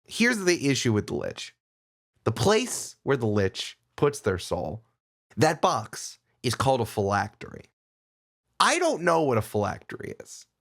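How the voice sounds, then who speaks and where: A man talking casually as a podcast guest, opinionated and animated, often digressing as he argues his point. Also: mid-range voice, measured pace.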